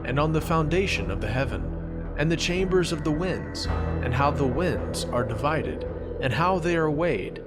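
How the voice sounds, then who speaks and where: A man playing an ancient prophet who recounts his visions in measured, reverent, archaic narration for a scripture audio drama, with music behind him. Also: on the high side, expressive, natural voice. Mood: solemn, awed.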